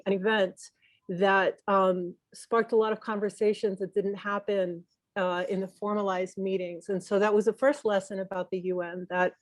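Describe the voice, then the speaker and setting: An earnest woman from Peru speaks into a video call microphone, reflective and unhurried. Her voice sits at an ordinary pitch. The sound is dry and close. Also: darkish tone, no room sound. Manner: earnest, reflective, with many pauses and restarts. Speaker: journalist and consultant speaking at a memorial. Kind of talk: spoken tribute on a video call.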